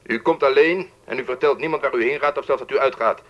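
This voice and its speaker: A man's voice: mid-range voice, fast. Muffled phone sound, secretive male informant, curt and controlling, radio play. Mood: stern, secretive. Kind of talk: radio drama acting. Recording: dull, band-limited telephone-like sound.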